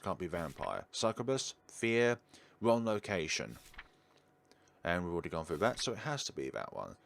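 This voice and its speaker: Deep-voiced man doing game commentary. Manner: chatty asides between read-out narration. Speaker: gaming video host. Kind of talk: let's play commentary, reading visual novel text aloud.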